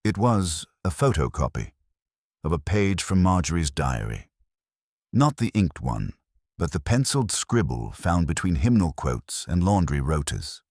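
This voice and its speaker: Casting: male mystery narrator, bass register, raspy edge, literary and wry delivery, dry close sound. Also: sing-song, a little slow, a little mellow, no echo. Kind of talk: scripted narration of a mystery story.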